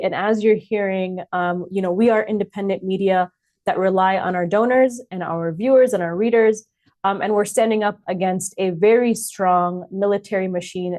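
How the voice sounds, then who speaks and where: A Palestinian American woman hosting an interview podcast, talking conversationally and often pausing with filler sounds. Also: medium pitch, a little mellow. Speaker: podcast host.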